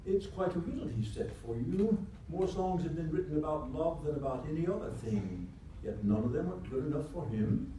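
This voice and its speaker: Very mellow, weary sorcerer played by a man. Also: a little high, animated, moderate pace, lightly acted. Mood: measured. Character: weary, kindly male sorcerer master, principled and gentle. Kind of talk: staged radio theatre dialogue. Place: indoor convention performance before microphones. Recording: echoey live room, distant mic, faint noise.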